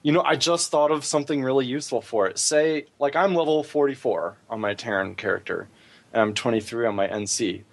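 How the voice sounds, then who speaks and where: Male podcast co-host, medium pitch, casual gamer talk, informal and thinking out loud. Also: fairly quick, vocal fry. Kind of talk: casual chat about gaming.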